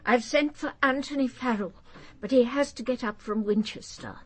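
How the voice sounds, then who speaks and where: A woman's voice: a little high, moderate pace, close to an everyday voice. An actress playing an older aristocratic English lady in a radio drama, speaking in a clipped, commanding and formal way. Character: imperious old aristocratic English lady, controlled and probing.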